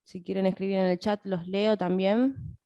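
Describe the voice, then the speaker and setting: A woman's voice: mid-range voice, fairly even intonation, normal speed, no room sound, highs cut off. A woman moderating an online workshop, introducing it informally to the participants. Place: online video call, dry sound.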